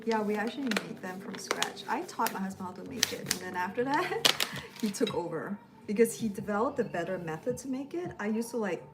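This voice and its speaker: A woman chatting casually on a livestream from home as a home cook, rambling in a relaxed way while she packs and snacks. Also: mid-range voice, brisk, very noisy. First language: English. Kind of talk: casual live-stream chat. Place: home, indoors. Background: lo-fi background music.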